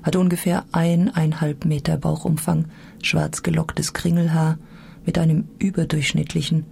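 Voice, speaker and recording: A woman's voice: sits low, flat delivery, moderate pace, vocal fry, warm tone. An actress playing an embittered woman who left a sect, giving dry, sardonic, matter-of-fact testimony in a radio drama.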